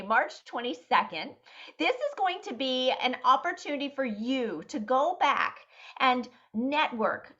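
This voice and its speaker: A woman's voice: sits high, a bit bright, lo-fi sound. A woman leading a sales team meeting, explaining an event plan in an upbeat coaching manner.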